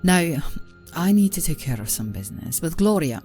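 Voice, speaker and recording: A woman voicing a genteel, doting vampire aristocrat in an ASMR roleplay, soft and breathy, coaxing, often sinking into a whisper. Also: low-pitched, big pitch swings, normal speed, faint music behind. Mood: welcoming, genteel.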